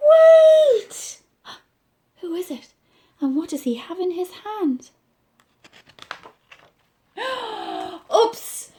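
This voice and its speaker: A woman with an Irish accent, a children's librarian reading a picture book aloud, gently asking her young listeners questions. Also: very high-pitched.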